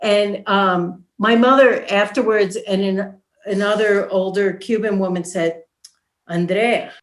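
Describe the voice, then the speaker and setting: A woman's voice: medium pitch, fairly even intonation, unhurried. A middle-aged or older woman telling anecdotes casually and with amusement as a panelist on a video call. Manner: amused, conversational. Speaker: panelist, academic. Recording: roomy echo on video call.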